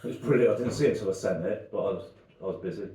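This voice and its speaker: Male co-host, low mellow voice, rambling punning chat, roomy distant sound. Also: very fast, a little hiss or hum. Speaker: podcast co-host. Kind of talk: casual chat. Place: dining room. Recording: echoey room, off-mic.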